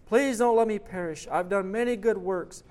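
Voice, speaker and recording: A man voicing a desperate condemned man who sobs and begs for mercy, his voice raised high and loud. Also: moderate pace, lightly acted. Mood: desperate pleading. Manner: frantic begging, sobbing.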